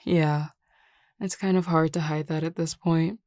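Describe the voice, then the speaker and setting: A woman playing a flirty, teasing, self-assured werewolf pack beta in an audio roleplay, talking in a casually confident, unhurried way. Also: low voice, steady tone, dark tone, no room sound, clean studio sound. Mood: relaxed, assured. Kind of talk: scripted audio roleplay acting.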